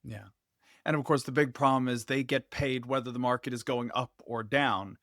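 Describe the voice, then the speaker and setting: Host, male, mid-pitch, dry close-mic sound, probing questions. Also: steady tone, moderate pace, a bit bright, no echo. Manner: probing, plays the worried listener. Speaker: podcast host. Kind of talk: conversational interview.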